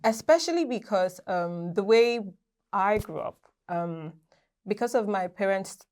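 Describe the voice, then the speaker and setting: A woman's voice: mid-range voice, unhurried, clear, resonant tone. A young woman from Nigeria, a guest on a podcast, chatting casually and laughing as she tells stories. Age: young adult. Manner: lively, laughs while telling stories. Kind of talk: casual interview chat.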